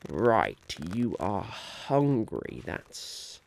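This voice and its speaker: A soft-spoken man doing an ASMR roleplay as an eager, flustered businessman, with a halting, deliberate delivery that slips into whispers. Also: fairly low pitch, wildly swooping pitch, gravelly. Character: the Once-ler, eager, flustered businessman chairing a meeting of animals.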